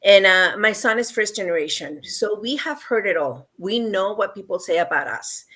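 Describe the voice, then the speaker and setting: A woman's voice: medium pitch, animated, normal speed, a bit bright. A woman from Mexico with a Mexican accent, a parent guest on a panel, speaking earnestly and emotionally with many ums and repeated phrases. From Mexico, El Bajío region. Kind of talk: heartfelt panel discussion.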